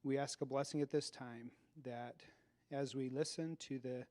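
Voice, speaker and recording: A man with an American accent leads a formal prayer at a public gathering. His voice is mellow and mid-pitched, and his wording is reverent, measured and old-fashioned. He speaks into a mic in a hall. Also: steady tone. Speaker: prayer leader at an event. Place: event hall.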